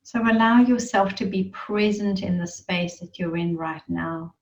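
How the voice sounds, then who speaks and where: A woman leading a guided meditation in a soothing, reverent manner, leaving long pauses between phrases. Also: medium pitch, little pitch movement. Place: online group gathering. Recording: muffled, roomy, distant online-call sound.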